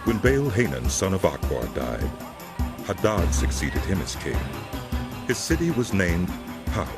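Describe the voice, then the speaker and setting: A man narrates scripture solemnly and deliberately as a scripted drama. His deep voice is richly varied in pitch. Music plays clearly behind him. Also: sing-song.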